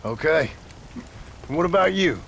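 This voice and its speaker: Gruff tired cowboy in a video game, blunt n sardonic, voice moves up and down a lot, faint noise behind. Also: male voice, on the high side, lively intonation, a little slow, fairly bright tone, full character voice. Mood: curt. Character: gruff, skeptical, weary male cowboy gunslinger. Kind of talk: video game cutscene acting.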